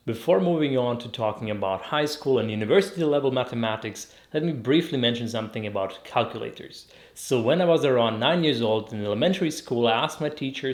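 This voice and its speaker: A young man from Croatia, hosting an educational video, explains math step by step in clear, methodical scripted narration with a light joking touch. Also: mid-range voice, a little mellow.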